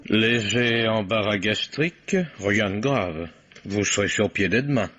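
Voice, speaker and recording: An actor playing a genial, reassuring family doctor, polite and unhurried, in an old radio drama. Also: male voice, on the low side, loud music behind the voice, close to an everyday voice. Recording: dull vintage radio recording.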